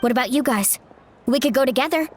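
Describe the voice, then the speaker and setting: A woman's voice: high voice, quick pace, slight background noise, close to an everyday voice. A woman playing a cheerful, boastful young fighter in a game, breezy in manner. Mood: upbeat. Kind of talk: game dialogue.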